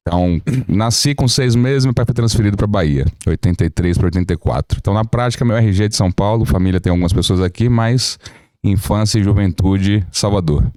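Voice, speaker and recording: A man in his thirties with a very low voice, telling his story calmly and with humor. He speaks as a podcast guest, recorded dry and close on a studio mic. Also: brisk, no room sound. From Brazil. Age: 30s. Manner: relaxed storytelling, jokes. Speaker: guest lawyer. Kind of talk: casual podcast interview.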